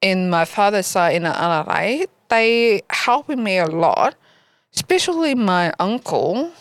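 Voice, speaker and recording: A woman from Vietnam with a Vietnamese accent, speaking haltingly as a guest in an interview in a library. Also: mid-range voice, lively intonation, no echo. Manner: halting, searching for words. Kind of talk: interview answers. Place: local library.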